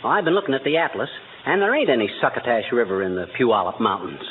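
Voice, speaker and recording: An American actor playing a folksy, blustering husband who rambles through tall tales in an old radio comedy. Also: male voice, mid-range voice, animated, fast talker, lightly acted. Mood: grumbling. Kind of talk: 1940s radio comedy acting. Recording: tinny, noisy old radio recording.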